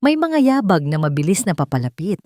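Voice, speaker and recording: A Filipino-accented woman narrates a dramatic love story as a scripted audio tale. Her voice is low and mellow, and it sits dry in the recording with almost no room sound. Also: very animated, brisk, no room sound.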